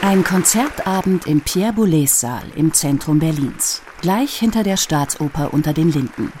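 A woman reading a scripted documentary narration in a measured, even way. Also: deep voice, a crowd in the background, noisy background.

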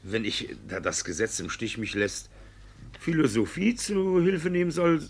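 A sly village judge in a classic radio drama. His voice is rough and husky, swinging widely in pitch. He blusters, dodges and stumbles over his words like a man hiding guilt. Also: big pitch swings, unhurried, exaggerated voice. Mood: slippery. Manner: blustering, evasive, hesitant stammering. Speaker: actor in a radio play. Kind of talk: theatrical classic radio play acting.

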